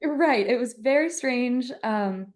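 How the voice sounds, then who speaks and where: Mellow-voiced young woman violinist guest. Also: a little high, animated. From Germany. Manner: thoughtful, measured, uses "kind of" often. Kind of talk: podcast interview.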